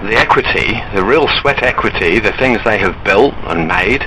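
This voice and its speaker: Earnest, insistent man with a bright voice. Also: lowish voice, expressive, normal speed. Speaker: caller to a bank enquiry line. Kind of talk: argumentative phone discussion. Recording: recorded phone call with background noise.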